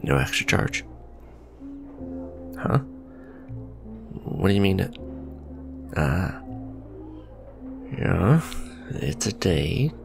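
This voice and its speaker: A man's voice: low-pitched, very animated, very slow, gravelly, pauses often, music in the background, full character voice. A man playing an awkward, blunt supervillain in a romantic ASMR roleplay, speaking in a hesitant, earnest, conversational way. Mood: reassuring, casual. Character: male supervillain, awkward, blunt, businesslike on a blind date. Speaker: voice actor.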